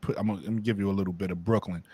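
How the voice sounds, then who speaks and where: A man's voice: deep voice, steady tone, racing, dark tone, breathy edge, dry recording. A man with a New York accent hosting a casual group chat, laid-back and slangy, often repeating his words. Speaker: podcast host.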